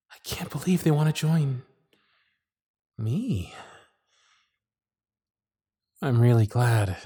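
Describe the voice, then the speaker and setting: A man playing a lovestruck, nervous circus performer in an intimate ASMR roleplay, speaking earnestly and haltingly. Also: fairly high pitch, a little mellow, dry recording, clean studio sound. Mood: happy, tender. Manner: hesitant, breathy, earnest, halting delivery.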